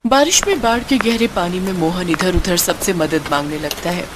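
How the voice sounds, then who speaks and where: A woman's voice: lowish voice, normal speed, slight background noise. A woman narrator reading a scripted story for a cartoon.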